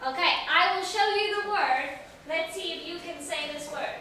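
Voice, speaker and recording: A woman's voice: very high-pitched, moderate pace, bright, forceful tone. A woman teaching an English lesson to a young class, asking simple questions, repeating words and praising answers in an encouraging way. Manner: simple instructional phrasing with questions and repetition. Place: echoey classroom, mic at a distance. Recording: very echoey room, distant mic, faint noise.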